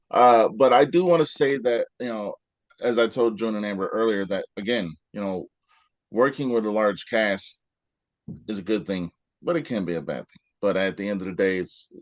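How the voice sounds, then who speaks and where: A man's voice: lowish voice, moderate pace, dry recording. A man hosting a casual interview, rambling with lots of fillers and restarts. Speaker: host.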